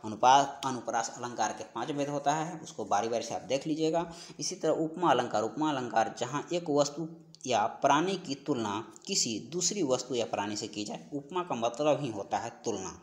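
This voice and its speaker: A man's voice: mid-range voice, lively intonation, normal speed. A man giving an instructional lesson as an exam-prep teacher, working through questions and repeating key points and answers. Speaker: teacher of Hindi for exam preparation.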